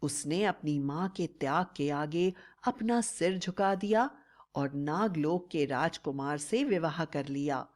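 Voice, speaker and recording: A woman storyteller with a slightly low voice. She reads a scripted fairy tale at a very slow, measured pace. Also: darkish tone.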